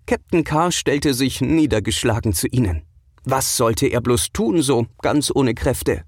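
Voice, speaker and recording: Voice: medium pitch, measured pace, darkish tone, husky, no echo, clean studio sound. A narrator reading a scripted children's audio adventure as a storyteller.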